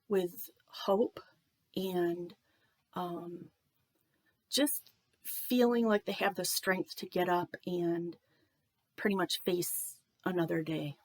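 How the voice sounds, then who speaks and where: Woman vlogger, lower voice, slightly nasal, wry self-deprecating humour, unhurried with "um" pauses. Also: dry recording. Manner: joking, wry, many "um" pauses. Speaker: video creator. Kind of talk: self-deprecating talk to camera.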